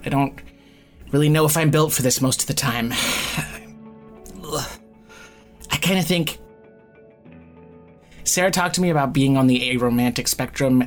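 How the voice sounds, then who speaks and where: An actor playing a flippant, guarded young magic-user in an audio drama, casual and profane, with a halting, sardonic delivery. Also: male voice, mid-range voice, close to an everyday voice. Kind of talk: naturalistic audio drama acting.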